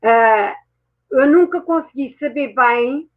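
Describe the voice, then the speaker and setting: High-voiced woman on tinny audio. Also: slow pace. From France. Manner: reflective, speaks with many self-corrections. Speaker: guest, mindset mentor. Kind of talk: personal storytelling in a chat.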